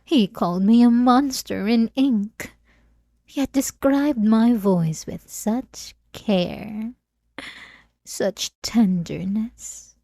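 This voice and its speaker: A woman voicing an obsessive, possessive centuries-old vampire in an ASMR roleplay, with soft, teasing, intimate delivery. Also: on the high side, very slow, no echo, exaggerated voice. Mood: taunting, wistful. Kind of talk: ASMR roleplay audio drama.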